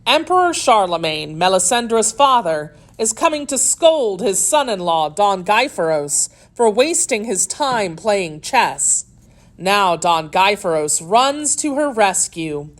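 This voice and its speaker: A woman's voice: mid-range voice, sing-song, slow pace. A woman voicing a young boy who narrates a puppet show in a storybook, earnest, dramatic and exclamatory. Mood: earnest announcing.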